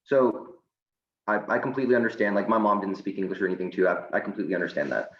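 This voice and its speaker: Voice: low voice, fast talker, echoey room, lo-fi sound. A teenage debater asking questions in crossfire, casual with many fillers. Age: teens. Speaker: high school debater.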